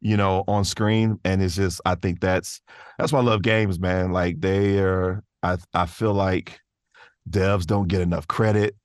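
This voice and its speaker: Deep-voiced man in earnest casual conversation. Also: fairly even intonation, unhurried, clean tone, no room sound, studio quality. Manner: earnest, enthusiastic, laid-back slangy phrasing. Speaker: interview guest, voice actor.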